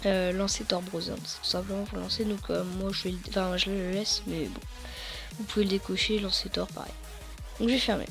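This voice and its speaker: Hesitant, slightly hoarse teenage girl. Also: mid-range voice, steady tone, fairly quick, soft background music. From France. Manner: rambling, hesitant, many fillers and restarts. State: a bit hoarse. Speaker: tutorial YouTuber. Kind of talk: casual screen-recorded tutorial.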